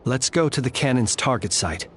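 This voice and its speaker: A man playing a composed, analytical warrior ally in a video game, speaking in a measured, formal manner. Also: medium pitch, lively intonation, fairly bright tone, slight background noise, lightly acted. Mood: resolute.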